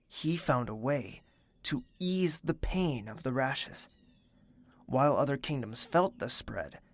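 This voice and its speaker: An actor playing a young male prince who is thoughtful and reserved, speaking in a measured, formal and courteous way. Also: mid-range voice, no room sound. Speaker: narrator and lead character. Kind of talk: audio drama acting.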